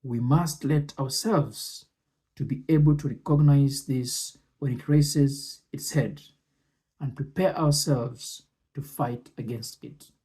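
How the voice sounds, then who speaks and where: A man with a mellow, mid-pitched voice reads and explains at a very unhurried pace. He is deliberate and measured, setting phrases apart with long pauses. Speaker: presenter and commentator. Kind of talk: reading speech excerpts with explanatory commentary.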